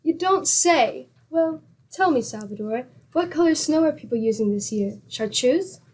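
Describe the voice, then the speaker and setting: A woman's voice: high voice, animated, unhurried, darkish tone. A woman acting a patient, sensible wife in old-time radio comedy, with dry, affectionately teasing sarcasm. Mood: sarcastic.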